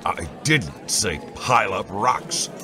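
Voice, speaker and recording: Deep-voiced stubborn old veteran soldier. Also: male voice, expressive, slow, noisy background. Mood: insistent. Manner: insistent, argumentative. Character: stubborn older male veteran, insistent father. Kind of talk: fantasy audio drama acting. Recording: loud music under the voice.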